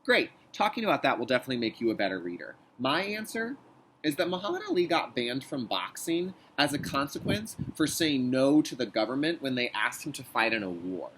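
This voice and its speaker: Animated man teaching young children, husky voice. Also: medium pitch, poor audio. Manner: instructional, deliberate enunciation, addressing young children. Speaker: kindergarten teacher. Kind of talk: read-aloud lesson for young children.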